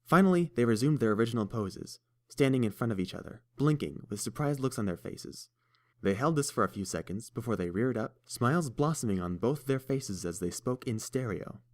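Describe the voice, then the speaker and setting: A man narrating a fan fiction audiobook in a steady, measured storytelling voice. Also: mid-range voice.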